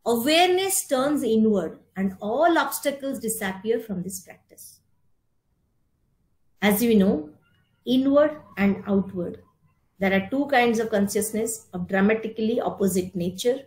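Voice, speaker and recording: A middle-aged woman with an Indian accent giving an instructive talk in short, deliberate, emphatic phrases, explaining terms as she goes. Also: fairly high pitch, animated, a little mellow. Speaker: speaker giving a yoga philosophy talk. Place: indoor room, online meeting. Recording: roomy, echoing virtual meeting audio.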